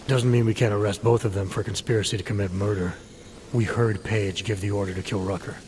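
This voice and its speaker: An actor playing a terse, unflappable special agent in a video game, dry, clipped and skeptical. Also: male voice, on the low side, steady tone, a little fast, darkish tone, slight background noise, natural voice. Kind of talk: video game cutscene acting.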